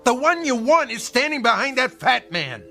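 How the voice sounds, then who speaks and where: An actor playing an officious store manager in a radio drama, blustering, indignant and demanding. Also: male voice, very high voice, sing-song, normal speed, creaky, bright, forceful tone, soft background music, full character voice. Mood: alarmed, blustering. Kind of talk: comedic radio drama acting.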